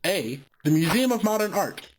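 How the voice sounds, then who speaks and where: A game master voicing a smug animated quiz-game host with brisk, snide game-show patter. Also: mid-range voice, noisy background, voice pushed up, lightly acted.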